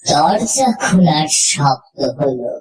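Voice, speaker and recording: ধীর লয়ে ভূতের গল্প বলা নারীকণ্ঠ. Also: female voice, low-pitched, very animated, a crowd in the background, poor audio. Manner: suspenseful, with dramatic pauses. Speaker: horror story narrator. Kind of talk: scripted dramatic first-person storytelling. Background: music.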